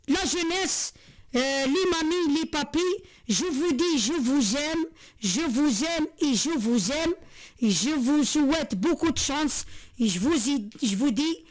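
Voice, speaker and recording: Woman, high voice, sincere vlog monologue, halting and repetitive. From Algeria. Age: 40s. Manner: earnest, repetitive, many hesitations and restarts. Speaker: vlogger. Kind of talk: heartfelt monologue to camera.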